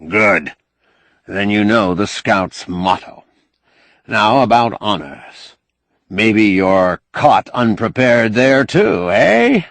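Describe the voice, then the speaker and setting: A man's voice: on the low side, sing-song, slow, vocal fry, a bit bright, dry recording, heavily acted. A man voicing a blunt, folksy old man who bargains shrewdly and sardonically in an audiobook novel. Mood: brisk, demanding. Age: older. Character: crusty, shrewd old man, bossy, wry negotiator.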